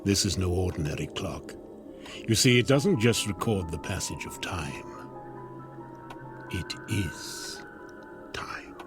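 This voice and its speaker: A sly, low-voiced devilish salesman. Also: expressive, slow, long pauses, music in the background. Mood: mysterious, showy. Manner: glib, coaxing, theatrical sales patter. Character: sly devilish door-to-door salesman peddling a time-bending clock. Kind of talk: modern radio drama acting.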